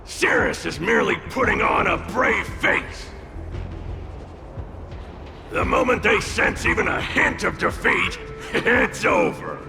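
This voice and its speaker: Fiery game fighter, high-pitched for a man, gravelly, forceful, brash, slow delivery. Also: lively intonation, full character voice. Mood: confident, gruff. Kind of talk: video game voice acting. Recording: loud music behind.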